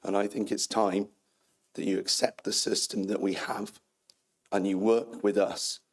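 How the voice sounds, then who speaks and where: A man speaks at a council meeting in a hoarse voice that is fading on him. His pitch sits very low and barely moves, with a gravelly fry running through it. He is firm and measured as he answers. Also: level tone. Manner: firm, measured, ends dismissive. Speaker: council cabinet member.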